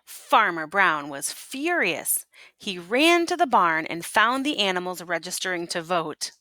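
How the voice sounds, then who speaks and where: Bright-voiced woman reading a storybook aloud. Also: fairly low pitch, sing-song, a little slow, no echo. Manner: teacherly, prompting listeners with questions. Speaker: teacher reading a picture book aloud to children. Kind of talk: expressive read-aloud storytelling with asides and questions.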